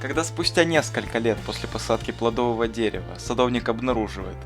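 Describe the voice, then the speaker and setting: A man voicing a thoughtful, kindly schoolteacher in an animated film, explaining things in an earnest, didactic, bookish way. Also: mid-range voice, fast talker, close to an everyday voice. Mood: earnest, instructive. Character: thoughtful, kindly male schoolteacher who talks like a gardener. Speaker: teacher answering interview questions. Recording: voice over clear background music. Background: music.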